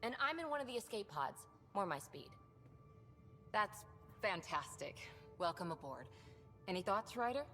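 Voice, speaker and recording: An actress playing a brisk, sardonic crew officer in a video game. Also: female voice, mid-range voice, big pitch swings, sharp tone. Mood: wry, brisk. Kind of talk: video game cutscene acting. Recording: slight reverb with music.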